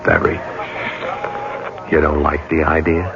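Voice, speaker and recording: A man's voice: rumbling low, flat delivery, a little slow, vocal fry, full character voice. A man acting a cold, persuasive war veteran plotting revenge in an old-time radio drama, insistent and hard-edged. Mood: coldly determined. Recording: muffled vintage radio recording, music behind.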